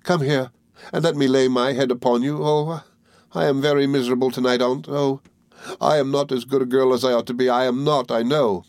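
A man's voice: mid-range voice, steady tone, brisk, no room sound, studio quality, pitched above the performer's own voice, close to an everyday voice. A man voicing a tearful young woman in a storybook, agonised, repetitive and full of self-reproach as she pleads. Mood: desperate pleading.

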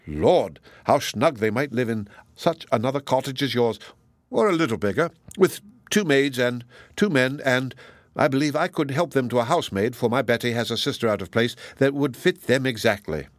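A man's voice: medium pitch, moderate pace, no room sound, studio quality, natural voice. A man voicing a chatty, good-hearted older matron in an audiobook, gossiping in a rambling, exclamatory and indignant way. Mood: indignant, eager. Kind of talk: audiobook character dialogue.

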